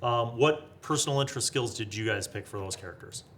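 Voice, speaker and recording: A man hosting a casual chat, thinking aloud and asking quick questions while building a game character. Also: mid-range voice, fairly bright tone, slight background noise. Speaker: host.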